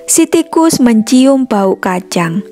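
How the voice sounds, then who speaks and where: A woman narrates a scripted story for children. Her voice sits fairly high, and soft music plays behind her as she reads. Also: lively intonation, slow.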